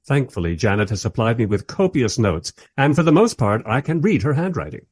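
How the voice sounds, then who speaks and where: A man playing a wry, politely exasperated film director who narrates a radio play in conversational asides with a husky voice. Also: medium pitch, sing-song, fairly quick, a little mellow, dry recording.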